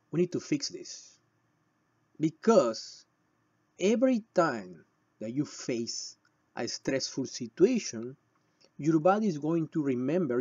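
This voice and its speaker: A man from Peru with a Peruvian Spanish accent and a husky voice, explaining and telling stories to viewers in short, emphatic phrases with deliberate pauses. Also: mid-range voice, darkish tone, dry recording, muffled recording. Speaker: shamanic healer presenting a video. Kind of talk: explanatory talk with personal storytelling.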